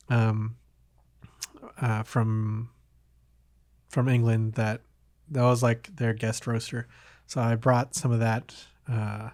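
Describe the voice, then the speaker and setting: A man's voice: medium pitch, a little mellow, no echo, studio quality. A man hosting a podcast in casual conversation, hesitant and meandering, often trailing off between thoughts. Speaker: podcast host. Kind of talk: casual chat.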